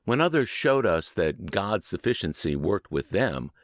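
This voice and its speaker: A man narrates an audiobook in a lowish voice that rises and falls expressively. His phrasing is deliberate and emphatic, as he reads from a prepared text. The sound is dry, with no audible room. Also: big pitch swings, no room sound. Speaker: audiobook narrator and podcast host. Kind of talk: scripted audiobook narration of an old book.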